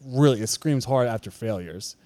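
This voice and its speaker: A man's voice: medium pitch, animated, normal speed, mellow, no echo. A man co-hosting a church podcast, talking candidly and confessionally in casual chat, often circling back and restarting his sentences.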